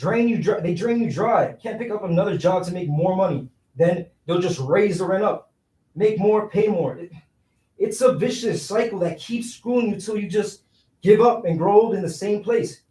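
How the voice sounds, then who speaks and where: A man's voice: sits high, normal speed, mellow, slight background noise, close to an everyday voice. An actor playing a scheming, broke young city man in a staged reading, talking in slangy, persuasive street phrasing. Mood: bitter, venting. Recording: roomy echo, distant microphone.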